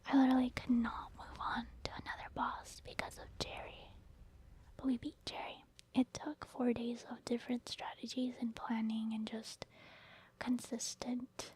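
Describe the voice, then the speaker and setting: Woman, breathy whisper, even intonation, casual rambling gamer, ASMR commentary. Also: on the high side, normal speed. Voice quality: breathy, mostly unvoiced whisper. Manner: casual, rambling, explains own thought process. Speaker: gaming ASMR creator. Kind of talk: soft-spoken ASMR game commentary.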